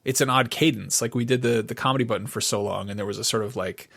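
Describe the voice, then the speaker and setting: A man's voice: on the low side, steady tone, fast talker, gravelly, a bit bright. A middle-aged man co-hosting a casual podcast, circling his point and repeating phrases as he thinks aloud. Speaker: podcast co-host. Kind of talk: casual conversational podcast chat.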